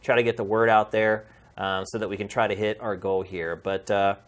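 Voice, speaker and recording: A man's voice: lowish voice, flat delivery, fairly quick. A middle-aged man speaking as a podcast host, addressing viewers in a casual, heartfelt and emphatic way.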